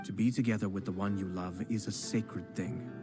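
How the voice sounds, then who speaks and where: A man voices a composed young nobleman in a video game, courteous and shrewd. He talks in a measured, formal way in a fairly low voice, with game music clearly audible behind him. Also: fairly even intonation, natural voice.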